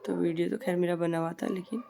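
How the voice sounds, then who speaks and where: Hoarse Hindi vlogger woman, low gravelly voice. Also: steady tone, fast talker, mellow. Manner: chatty, rambling, repeats herself. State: hoarse, heavy voice from a sore throat. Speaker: family vlogger. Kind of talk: casual voice-over narration. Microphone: voice-over recorded separately from the footage.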